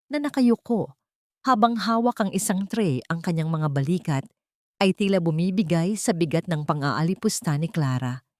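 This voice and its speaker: A woman narrating a dramatic first-person story in a measured, solemn way. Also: on the low side, darkish tone, dry recording, clean studio sound.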